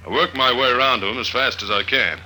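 A man's voice: medium pitch, quick pace, background noise, close to an everyday voice. A man playing a hard-boiled, no-nonsense investigator who narrates his own adventure in clipped sentences, in 1950s radio drama style. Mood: terse narration. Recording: old radio recording with music.

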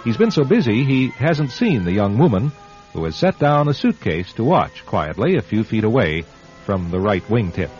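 A man narrates an old-style radio drama as its host. His delivery is measured and solemn, yet rises and falls widely. The recording is slightly dull, with faint music behind him. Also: lowish voice, sing-song, a little mellow.

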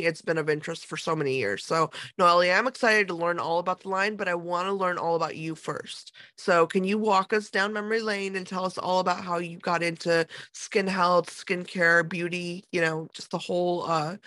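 A woman's voice: on the low side, fairly bright tone, no room sound. A woman hosting a skincare podcast, enthusiastic and rambling with fillers as she introduces her guest. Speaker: podcast host. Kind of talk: podcast interview.

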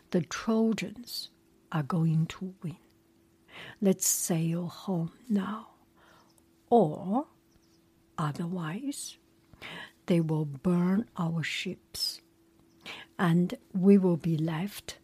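A woman, not a native English speaker, whispering a relaxed chat and reading a story aloud with many hesitations. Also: lowish voice, husky.

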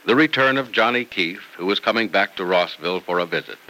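A man's voice: deep voice, animated, quick pace, pushed and bright, rough recording. A man announcing in an emphatic, polished old-time radio sales and narration style. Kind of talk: 1940s-50s radio announcing, sales pitch.

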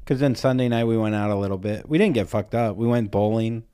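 A man's voice: on the low side, quick pace, mellow, clean tone. A young man co-hosting a podcast, chatting casually, telling loose stories, teasing and swearing freely. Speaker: podcast co-host. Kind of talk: casual chat.

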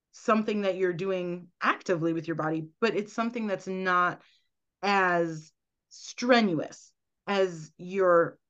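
A woman's voice: mid-range voice, lo-fi sound. A woman guest on a podcast, earnestly explaining at length and often checking in with "right?". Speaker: guest, medical intuitive.

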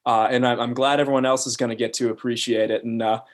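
A man's voice: medium pitch, level tone, fast. A man speaking conversationally as a podcast interviewer.

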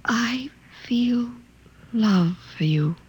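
A woman's voice: fairly high pitch, expressive, drawn-out words, breathy edge, full character voice. An actress playing a reserved scientist of the future in a classic radio drama, speaking formally and hesitantly as she discovers emotion. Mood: tender, bewildered.

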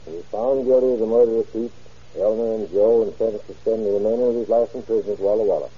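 A man's voice: fairly low pitch, steady tone, quick pace, slightly rough. A man playing a stern, blunt police chief in a 1930s radio crime drama. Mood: solemn, formal. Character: stern, sardonic police chief questioning a suspect. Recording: muffled old radio recording.

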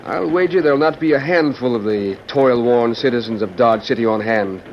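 A muffled, slightly noisy vintage radio recording of a jovial president of the Old West played by a male actor. He speaks at a middling pitch in a hearty, complacent way, teasing and speechifying like a statesman at ease. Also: moderate pace, lightly acted. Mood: amused, dismissive. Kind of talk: old-time radio acting.

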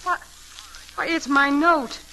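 A worried, earnest woman with a high voice. She speaks in the style of vintage radio drama. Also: fairly even intonation, slow pace, pushed and bright, a little hiss or hum, exaggerated voice, rough recording. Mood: astonished. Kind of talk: old-time radio drama acting.

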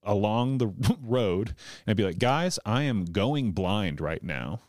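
A man's voice: lowish voice, expressive, slow pace, a little mellow, no room sound, studio quality. A man in his thirties, a comedian guest, talking casually in a rambling way with lots of likes and restarts. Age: 30s. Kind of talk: casual podcast conversation.

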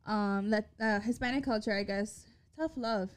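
A woman's voice: mid-range voice, moderate pace, clear, resonant tone. A woman co-hosting a casual chat, playful and swearing, switching between English and Spanish. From Mexican-American family. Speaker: podcast co-host.

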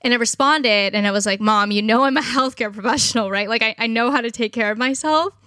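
A woman in her thirties co-hosting a casual conversation, talking quickly and expressively and laughing often. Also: a little high, pushed and bright, no room sound, clean studio sound. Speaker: podcast co-host.

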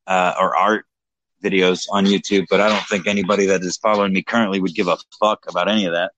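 Low-voiced young man, rambling and tipsy. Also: little pitch movement, fast, a bit bright, no echo, rough recording. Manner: rambling, swears often, self-mocking. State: slightly drunk. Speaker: livestream co-host. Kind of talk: casual commentary chat.

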